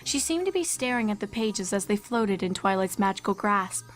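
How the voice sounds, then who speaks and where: A woman narrates a story in a scripted, storybook style. Her voice sits at a medium pitch, with soft music playing underneath. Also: animated, moderate pace. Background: background music.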